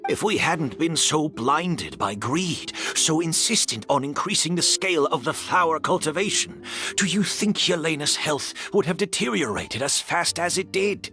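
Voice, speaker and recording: An actor playing a courteous, weary, remorseful gentleman in video game drama, speaking in a measured, formal way. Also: on the high side, lively intonation, faint music behind.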